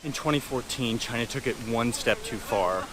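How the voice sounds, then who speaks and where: A man's voice: medium pitch, moderate pace, rough recording. A man presenting and narrating on location in a city park. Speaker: presenter interviewing locals.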